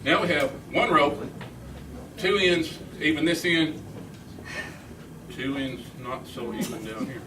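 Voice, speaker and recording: A man's voice: a little high, lively intonation, takes it slowly, pauses often. A man doing a magic act live on stage, talking his way through it in casual, teasing, improvised patter. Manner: joking, teasing. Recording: echoey, noisy live room, distant mic.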